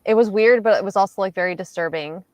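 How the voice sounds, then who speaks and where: A woman chatting casually on a livestream, telling things in a halting, reflective way. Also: mid-range voice, a little mellow, dry recording. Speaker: host of reading livestream. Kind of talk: casual chat.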